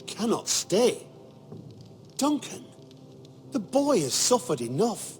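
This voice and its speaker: Hot-headed knight, high animated voice. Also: male voice, slow, soft background music, full character voice. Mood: indignant. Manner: forceful, indignant, scornful. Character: hot-headed proud knight, hungry for vengeance. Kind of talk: dramatic fantasy game voice acting.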